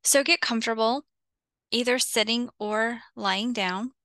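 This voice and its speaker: A woman leading a guided visualization as a meditation teacher, soothing and unhurried, leaving long pauses between short phrases. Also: on the high side, a bit bright, clear, resonant tone, dry recording.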